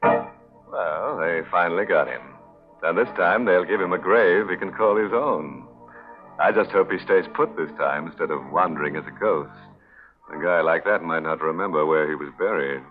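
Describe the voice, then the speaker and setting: A man's voice: low-pitched, moderate pace. A man hosting a 1940s radio horror show in a sardonic, mock-macabre manner. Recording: narrowband 1940s radio recording with music.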